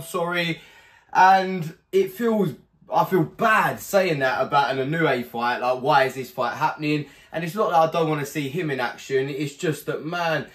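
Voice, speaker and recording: A hoarse young man hosting a boxing channel, giving a blunt, animated fight preview to camera from a small home room, swearing freely. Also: fairly high pitch. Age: young adult.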